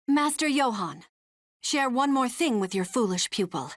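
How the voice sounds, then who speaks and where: A woman's voice: fairly high pitch, lively intonation, normal speed, dry recording, studio quality, close to an everyday voice. A woman playing a resolute young warrior princess in a video game, speaking formally with composed authority. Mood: grave, composed. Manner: formal, commanding. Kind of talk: dramatic video game voice acting.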